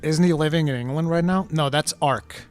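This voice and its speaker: A male live-stream host, a native Portuguese speaker from Portugal, chats casually with his viewers. He is animated and full of quick asides, and his voice sits slightly high over faint background music. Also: clear, resonant tone.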